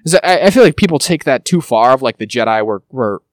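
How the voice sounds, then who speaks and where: A man talking quickly with a lively, swinging pitch, like a co-host who's deep in a casual chat. The sound is dry and close, with almost no room around it. He rushes through his thoughts and drops in quick mocking voices as he goes. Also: no room sound. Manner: fast, animated, breaks into quick mock impressions. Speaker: podcast co-host. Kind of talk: casual chat about TV shows.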